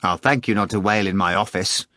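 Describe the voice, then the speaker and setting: A man's voice: sits low, fairly even intonation, fairly quick, sharp tone, no echo, pitched below the performer's own voice. A man voicing a disdainful, irritable official who is only an empty suit, speaking curtly and officiously. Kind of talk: audiobook character voice.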